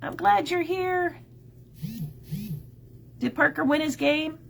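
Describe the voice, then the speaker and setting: A middle-aged shop owner with a scratchy, slightly nasal voice, chatting casually on a craft livestream. She greets viewers by name and fills pauses with "um". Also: sits high, steady tone, gravelly. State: scratchy, a bit nasal from a cold. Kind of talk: casual live-stream chat.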